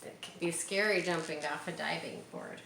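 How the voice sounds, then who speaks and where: A woman reads a picture book aloud to children like a teacher, with expressive emphasis and chatty, encouraging asides. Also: deep voice, creaky. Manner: expressive, drawn-out emphasis on key words; encouraging, explaining to young listeners. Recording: roomy echo with background noise.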